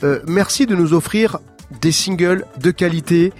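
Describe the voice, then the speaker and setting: Chatty male radio host, slightly high voice. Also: moderate pace, a little mellow, soft background music. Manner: chatty, hesitant, repeats words. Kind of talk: radio interview.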